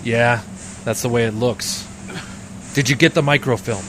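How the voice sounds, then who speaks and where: An actor playing a wry, hard-boiled private detective in 1940s radio noir style, deadpan and terse. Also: male voice, mid-range voice, moderate pace, background noise, natural voice.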